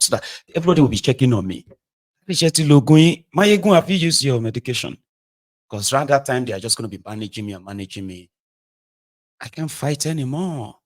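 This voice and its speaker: Emphatic Nigerian man in his forties. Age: 40s. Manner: emphatic, rhetorical, swings between loud outbursts and low asides. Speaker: political commentator. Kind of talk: impassioned political monologue.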